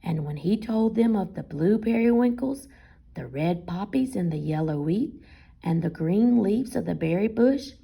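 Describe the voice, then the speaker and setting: A woman reading a picture book aloud as a teacher, expressively, pausing to question and explain to young listeners. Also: on the low side, gravelly, dark tone, husky.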